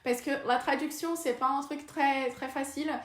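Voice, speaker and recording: A woman's voice: high-pitched, fairly even intonation, normal speed, a bit bright. A young woman with a Brazilian Portuguese accent, a native Portuguese speaker, talking casually to camera in French, hesitant, with many fillers and restarts. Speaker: vlogger. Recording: echoey room.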